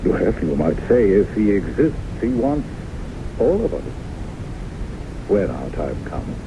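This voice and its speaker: An actor playing a courteous, skeptical psychiatrist in a 1970s radio drama, with measured, formal questioning. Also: male voice, deep voice, sing-song, vocal fry, exaggerated voice. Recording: noisy, muffled 1970s radio broadcast recording.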